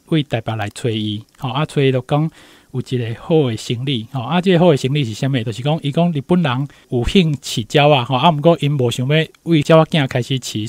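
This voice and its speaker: A man's voice: medium pitch, a little mellow, no echo. Taiwanese man explaining, close dry sound. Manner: explanatory, frequent tag particles. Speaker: guest commentator, university professor. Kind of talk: informal spoken commentary, retelling a story.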